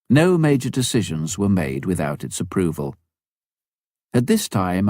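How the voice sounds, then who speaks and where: A man reading a memoir aloud as scripted narration, with measured, deliberate phrasing. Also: low voice, darkish tone, dry recording, clean studio sound. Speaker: audiobook reader.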